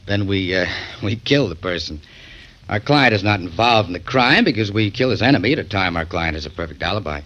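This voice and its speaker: A smug, ingratiating contract-killing salesman for 1940s radio drama. Forceful, fairly low, smoothly polite. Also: expressive, a little fast, lo-fi sound. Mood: smug, sly. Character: smooth, oily salesman for a murder-for-hire firm.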